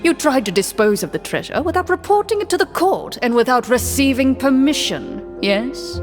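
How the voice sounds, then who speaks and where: A woman's voice: high voice, lively intonation, normal speed, long reverb tail, over music. A woman playing a formal, theatrical game master who narrates and voices a scheming courtly figure in a video game. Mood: smug, probing.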